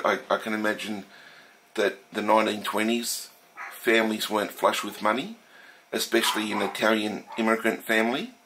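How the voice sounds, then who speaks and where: Casting: middle-aged Australian man, nasal from a head cold, lowish voice, casual unhurried narration. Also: a bit bright, a little hiss or hum, low-quality recording. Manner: slow, thoughtful, with frequent fillers and restarts. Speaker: steam engine collector. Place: home workshop room.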